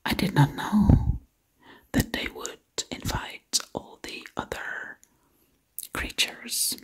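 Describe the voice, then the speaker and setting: A woman doing ASMR storytelling in a hushed, breathy whisper, lingering on words and repeating them. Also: slow. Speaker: ASMR creator.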